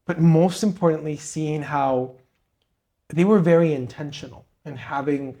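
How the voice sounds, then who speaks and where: A man's voice: fairly high pitch, measured pace, warm tone. A young man from Peru, co-host of a podcast, telling his story and giving advice in an earnest, motivational way. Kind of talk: conversational interview.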